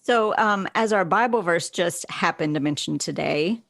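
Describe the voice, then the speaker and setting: A woman with a lowish voice works as a podcast host. She interviews in a conversational, informal way. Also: moderate pace, vocal fry, fairly bright tone, no room sound, studio quality.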